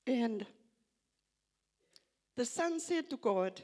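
An older woman with an Afrikaans accent, English not her first language, slowly reading scripture aloud and reflecting on it at a church gathering, halting and repeating phrases. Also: a little high, expressive, a little mellow. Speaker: church member giving a tribute.

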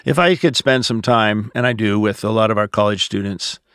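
A man's voice: lowish voice, normal speed, fairly bright tone, no room sound, studio quality. A middle-aged or older man, a pastor, giving conversational advice on a podcast. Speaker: pastor answering listener questions.

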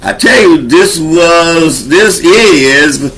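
A man's voice: a little high, lively intonation, extremely slow, a bit bright, soft background music, rough recording. A man hosting a show and preaching in a folksy, conversational way, urging his listeners along. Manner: folksy, conversational preaching with call-and-response encouragement. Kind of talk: informal sermon teaching.

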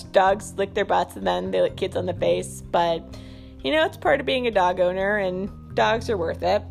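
A woman's voice: medium pitch, vocal fry. A woman hosting a podcast in a casual stream-of-consciousness monologue, rambling and self-deprecating. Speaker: podcast host.

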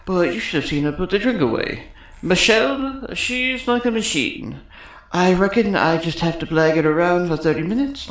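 Woman playing a cocky, sarcastic male tech, echoey. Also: on the low side. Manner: sarcastic, smug, wordy. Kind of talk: sci-fi comedy audio drama acting. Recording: roomy echo.

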